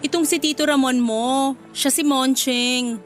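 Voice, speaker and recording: A woman's voice: sits high, fairly even intonation, unhurried, faint music behind. A woman acting a protective, feisty middle-aged mother in a radio drama. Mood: fussing.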